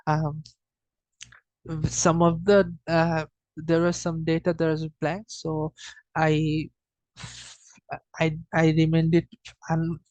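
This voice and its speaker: A student giving an informal data presentation in a hesitant, halting way, often repeating and correcting themselves. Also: medium pitch, darkish tone, no echo, low-quality recording.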